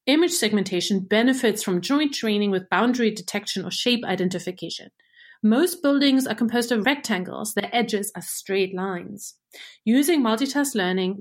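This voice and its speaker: Woman, native German speaker, research engineer, measured explanation, mid pitch. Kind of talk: scripted explanation.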